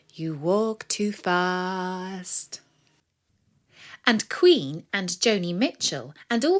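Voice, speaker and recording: A woman reading a scripted story for children in a measured, expressive storyteller's manner. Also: medium pitch. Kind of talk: scripted narration of a myth for children.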